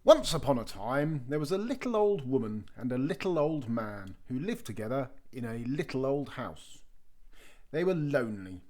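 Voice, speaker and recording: Very animated children's storybook reader. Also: medium pitch, moderate pace. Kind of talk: animated read-aloud storytelling with chatty asides.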